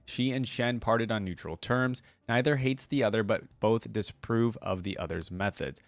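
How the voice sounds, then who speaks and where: A man with a fairly low voice speaks in a casual, conversational way as a reaction host. He moves between reading aloud and offering his own commentary to viewers. The sound is very dry, with no room around it. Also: normal speed, no echo.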